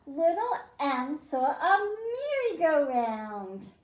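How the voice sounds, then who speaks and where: A woman's voice: sits high, big pitch swings, takes it slowly, roomy sound. A woman librarian reading a picture book aloud to children, stressing the m sounds in a sing-song storytime way, then explaining a science activity. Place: library room.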